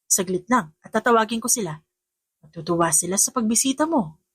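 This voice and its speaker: A woman's voice: mid-range voice, animated, a little fast, slightly rough, no echo. Woman acting kindly, welcoming elderly housekeeper. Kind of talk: audiobook character acting.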